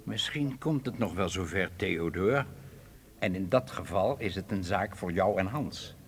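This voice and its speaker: A cautious ringleader in a radio drama, with a fairly low voice. He questions everything sceptically and speaks with authority to those under him. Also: expressive, a little slow. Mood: measured authority.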